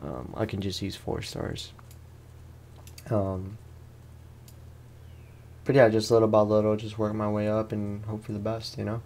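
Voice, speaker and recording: Low, gravelly man casually talking games. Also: steady tone, measured pace, long pauses, darkish tone, slight background noise. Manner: rambling, slangy, self-deprecating. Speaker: gaming host. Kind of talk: casual let's play commentary.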